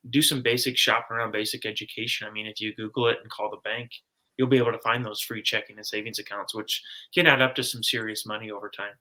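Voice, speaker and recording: A man's voice: lowish voice, flat delivery, quick pace, sharp tone, rough recording. A man from the United States, an interview guest, explaining and advising in a casual, plainspoken way. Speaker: guest, personal finance author.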